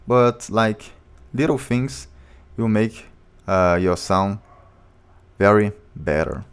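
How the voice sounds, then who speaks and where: A man with a low voice, a music producer walking through his vocal chain step by step in a plain, informal tutorial. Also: takes it slowly. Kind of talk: tutorial walkthrough.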